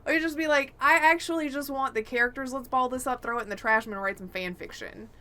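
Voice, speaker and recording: A woman's voice: sits high, quick pace, sharp tone. A woman co-hosting a podcast, talking casually and giving opinions in an exasperated, emphatic way. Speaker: podcast co-host.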